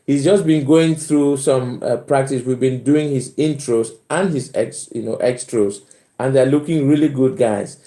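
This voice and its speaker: A man's voice: medium pitch, unhurried, warm tone. A middle-aged man with a Cameroonian accent, casually hosting a live chat and repeating phrases for emphasis. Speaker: live stream host. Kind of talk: casual live chat, reading out and answering comments. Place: bedroom at home. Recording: roomy indoor echo.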